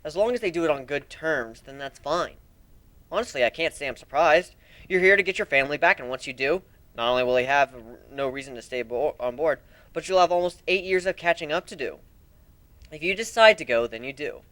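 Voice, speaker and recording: A man giving an expressive, theatrical first-person narration as an amateur dramatic story reader. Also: on the high side, a little fast, no echo.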